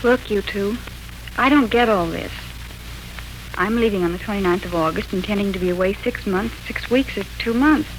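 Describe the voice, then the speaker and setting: An actress playing a brisk, defensive, practical grown daughter in a 1940s radio drama. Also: female voice, mid-range voice, background noise, close to an everyday voice.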